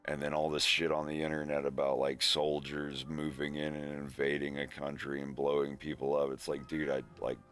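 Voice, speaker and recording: Very deep-voiced rambling American man. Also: completely flat delivery, normal speed, few pauses, fairly bright tone, soft background music. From United States. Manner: rambling, frequent "like" and "dude", swearing. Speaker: streamer. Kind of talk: casual livestream chat.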